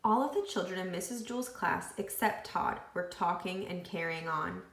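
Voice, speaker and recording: A woman reading a children's book aloud expressively, with deliberate phrasing and acted dialogue. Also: on the low side, creaky, a bit bright, echoey room. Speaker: read-aloud storyteller.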